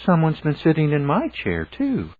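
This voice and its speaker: A man voicing a fussy, indignant mother bear in a storybook tale, in falsetto. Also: huge pitch swings, normal speed. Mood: surprised. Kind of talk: storybook character voice. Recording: phone-like, narrow band sound.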